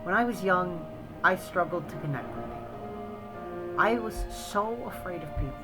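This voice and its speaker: Woman playing an earnest artist, lowish voice. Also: a little slow, natural voice, low-quality recording. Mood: sincere. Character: earnest artist, visual novel heroine. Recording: loud music over the voice.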